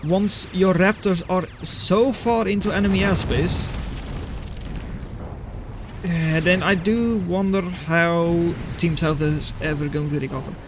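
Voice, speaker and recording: Male streamer, fairly high voice, dry live replay commentary, faint battle sounds behind. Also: fairly even intonation, slow pace, noisy background. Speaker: game caster, streamer. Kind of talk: live esports-style replay commentary, chatting with viewers.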